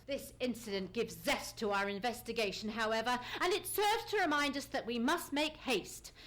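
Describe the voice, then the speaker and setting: A woman playing a cool, wry gentleman detective in an old-time radio play, polite and precise. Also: high-pitched. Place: live on a theatre stage.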